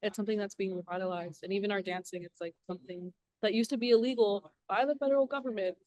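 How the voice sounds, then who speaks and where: A woman's voice: mid-range voice, steady tone, fast talker, dark tone, no room sound, poor audio. A young woman from Alaska speaking on a panel in an earnest, encouraging way, with many fillers. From southwest Alaska. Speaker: panelist, engineer. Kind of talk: casual panel talk.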